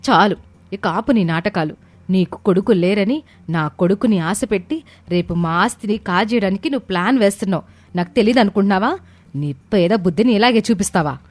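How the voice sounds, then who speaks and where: A woman's voice: mid-range voice, animated, fairly quick, dry recording, lightly acted. A woman voicing an arrogant, angry young mother in a family story, scolding and accusing. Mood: furious, accusing. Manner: scolding, accusing, rhetorical questions. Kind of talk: dramatized Telugu family story acting.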